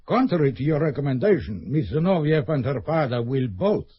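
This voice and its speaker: An actor playing a cold, scheming middle-aged KGB colonel in an old radio drama. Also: male voice, mid-range voice, sing-song, fairly quick, breathy edge, dry recording. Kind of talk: 1970s radio drama acting. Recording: dull old radio recording.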